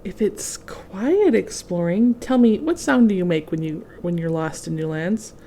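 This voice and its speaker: A woman's voice: on the low side, expressive, measured pace, dark tone, a little hiss or hum. Woman reading as earnest little storybook girl. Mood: cautious. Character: quiet-loving little storybook girl, curious, easily overwhelmed.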